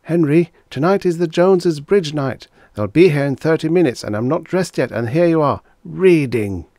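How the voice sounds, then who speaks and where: Man putting on a shrill nagging wife. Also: animated, moderate pace, mellow, no room sound, studio quality. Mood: scolding. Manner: scolding, impatient, emphatic. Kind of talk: audiobook character voice.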